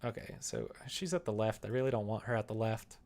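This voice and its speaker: A man's voice: lowish voice, lively intonation, a little fast, darkish tone. A man chatting casually on a livestream while he works, explaining things in a rambling way and sometimes muttering to himself. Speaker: streamer developing a visual novel.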